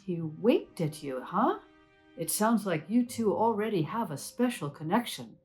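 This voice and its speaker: A woman's voice: medium pitch, big pitch swings, unhurried, darkish tone, soft background music. A woman voicing a kindly, amused mysterious female stranger in a storybook, reassuring and teasing.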